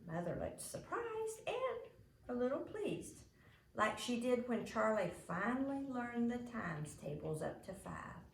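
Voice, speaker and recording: A woman reads a story aloud at an unhurried pace, with very expressive pitch. The room adds noticeable echo around her voice. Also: gravelly. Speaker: storybook reader. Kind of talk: animated read-aloud storytelling. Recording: roomy echo, faint background noise.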